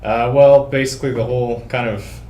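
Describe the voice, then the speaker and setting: A young Canadian man answering interview questions in a measured way, with many hesitations. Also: medium pitch, fairly even intonation, dark tone, roomy sound, slight background noise. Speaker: panel guest, researcher.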